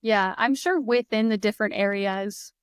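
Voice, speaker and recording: Young female guest, Midwestern American accent, chatty and upbeat; smooth clear voice, dry close mic. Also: medium pitch, normal speed, no echo. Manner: enthusiastic, laughs often, chatty. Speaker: guest, English teacher. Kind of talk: casual conversation.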